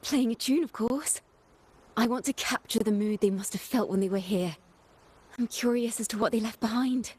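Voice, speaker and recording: A woman's voice: fairly high pitch, normal speed, darkish tone, slightly rough. An actress playing a poised young woman bard in a video game, encouraging and poetic in manner. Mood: poetic, wistful.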